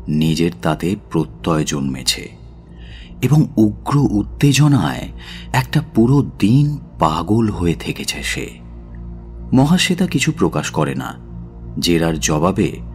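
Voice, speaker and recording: A man narrating a literary audio story in a measured, dramatic style. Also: low voice.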